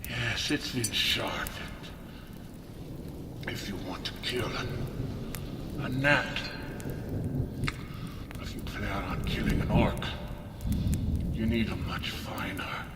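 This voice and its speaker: A man playing a gruff, proud dwarven blacksmith in an ASMR fantasy roleplay, grumbling and scolding in short, deliberate phrases, sometimes whispering. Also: lowish voice. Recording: roomy, reverberant, distant, loud background noise.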